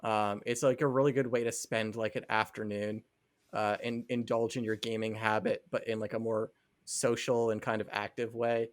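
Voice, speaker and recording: A man's voice: on the low side, level tone, moderate pace, dry recording, clean studio sound. A man co-hosting a podcast, chatting casually and thinking aloud a little hesitantly. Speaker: podcast co-host. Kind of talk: casual chat.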